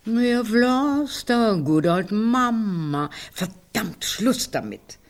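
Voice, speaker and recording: A woman's voice: mid-range voice, expressive, takes it slowly. An actress playing a practical, impatient older woman in a literary radio drama, curt and matter-of-fact. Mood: irritated.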